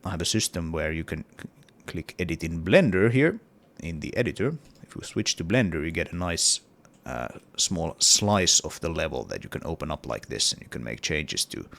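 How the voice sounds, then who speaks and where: A man's voice: low voice, sing-song, moderate pace, vocal fry, few pauses, fairly bright tone. A man with a cold and a partly lost voice, talking casually through his game level in a hesitant devlog with many fillers. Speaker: indie game developer.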